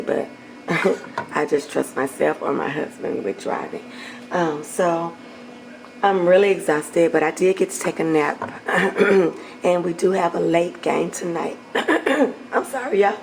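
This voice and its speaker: A hoarse middle-aged woman chatting warmly to viewers while cooking in her home kitchen, often clearing her throat. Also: sits low, a little hiss or hum, poor audio. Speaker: cooking channel host. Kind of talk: casual cooking vlog chat.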